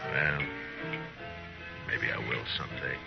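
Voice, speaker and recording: An actor playing a stern, weary frontier marshal in a 1950s radio western. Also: male voice, extremely low pitch, steady tone, slow, vocal fry, loud music behind the voice, heavily acted. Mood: grim, weary. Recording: dull old radio recording.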